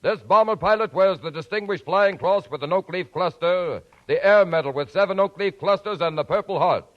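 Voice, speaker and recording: Formal announcer, muffled old radio. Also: male voice, high voice, moderate pace.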